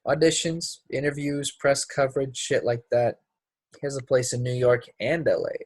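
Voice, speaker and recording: A man's voice: medium pitch, steady tone, normal speed, no room sound, close to an everyday voice. A man playing a brash, resentful young actor in a play reading, speaking in a blunt, casual, clipped way. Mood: casual, aloof. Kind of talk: play readthrough acting.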